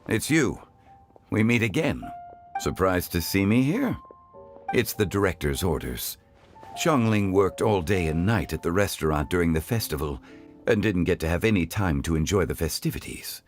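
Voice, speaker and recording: Video game role: refined mature man, low voice with lively intonation, measured and formal, unhurried. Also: full character voice. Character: calm, courteous mature gentleman, unhurried and refined. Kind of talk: video game character dialogue.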